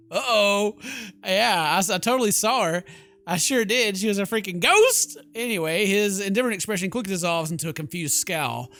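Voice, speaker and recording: Bright, playful male gamer. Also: high-pitched. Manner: playful, exaggerated reactions. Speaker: gaming video host. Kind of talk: let's play commentary, reading game text aloud.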